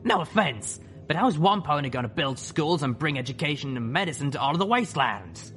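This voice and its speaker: A man voicing a gruff, shrewd older female griffin mercenary leader with a folksy, assured manner. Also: a little high, lively intonation, fairly quick, fairly bright tone, soft background music, pitched above the performer's own voice. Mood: blunt, skeptical.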